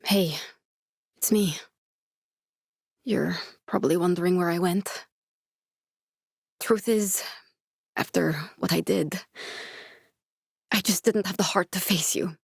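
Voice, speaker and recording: A woman voicing an earnest, guarded young female scientist survivor in a video game, speaking in a measured, serious way. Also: on the low side, gravelly, husky, dry recording, studio quality, exaggerated voice. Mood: subdued, regretful. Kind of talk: dramatic video game dialogue.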